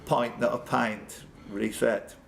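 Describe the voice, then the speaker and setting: A man's voice: mid-range voice, fairly even intonation, takes it slowly, creaky. A man hosting a live pub quiz, reading out questions and chatting jokily with contestants. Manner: jokey banter with contestants; reads questions aloud, then chats off the cuff. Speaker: quiz host. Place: indoors at a pub quiz event. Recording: background noise in a pub room.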